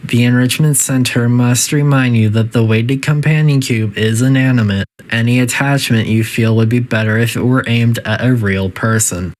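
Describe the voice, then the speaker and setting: A man's voice: medium pitch, steady tone, moderate pace, few pauses, close to an everyday voice. A man voicing a coolly polite, faintly menacing facility AI that gives formal, detached test-chamber announcements in a video game. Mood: clinical, detached.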